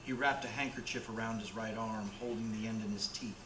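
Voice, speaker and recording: A man's voice: fairly low pitch, fairly even intonation, brisk. A man narrating a radio play in a dramatic storytelling style. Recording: roomy with clear background noise.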